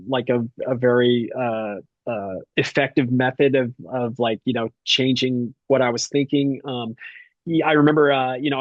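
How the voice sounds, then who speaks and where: A man's voice: medium pitch, no echo, muffled recording. A middle-aged man talking casually as a podcast guest, rambling with lots of fillers and restarts. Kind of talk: casual conversation.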